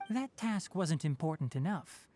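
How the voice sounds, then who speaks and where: A woman's voice: lowish voice, animated, slow, dark tone, faint music behind. A woman voicing a strict but kind-hearted young male forest watcher in a video game, instructive and matter-of-fact with light teasing.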